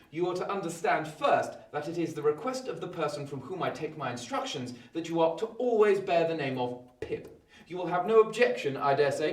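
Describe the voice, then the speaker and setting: An actor playing a brisk, imperious Victorian lawyer with formal, emphatic phrasing in a theatrical radio play. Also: male voice, on the high side, distant mic, natural voice. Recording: roomy echo, off the mic.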